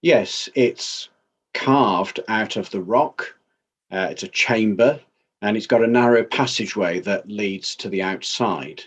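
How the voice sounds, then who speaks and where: A man playing a grave, dignified councillor giving measured, formal testimony in a dramatized inquest, in slightly dull sound. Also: on the low side, fairly bright tone, breathy edge. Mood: grave, factual. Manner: measured, formal, plain-spoken.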